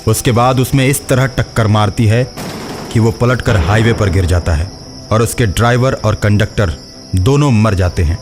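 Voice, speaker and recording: A man's voice: fairly low pitch, moderate pace, music in the background. A man narrating a scripted horror story as the storyteller.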